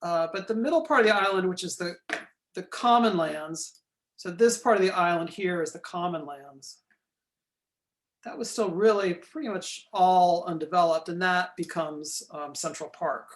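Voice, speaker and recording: A man giving a recorded lecture while pointing out features on a map. He is losing his voice, keeps clearing his throat and apologizes for it. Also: high voice, normal speed.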